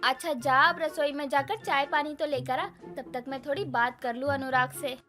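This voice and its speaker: A woman playing a sharp, grumbling middle-aged mother-in-law in a Hindi cartoon story, with a nagging, pointed way of talking. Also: high voice, fairly even intonation, normal speed, music in the background, close to an everyday voice. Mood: suspicious, nagging. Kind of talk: animated moral story acting.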